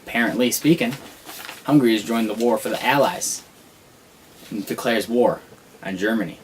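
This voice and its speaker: A man's voice: lowish voice, moderate pace, a little hiss or hum, rough recording. A man playing a news anchor in a casual student skit, loose and stumbling over his words.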